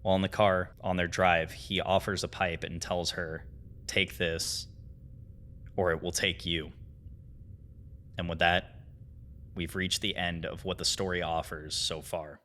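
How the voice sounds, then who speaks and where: Deep-voiced man narrating, forceful delivery. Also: little pitch movement, measured pace, pauses often. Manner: earnest, dramatic phrasing, informal asides. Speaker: host of a horror series analysis video. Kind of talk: scripted narration, video essay.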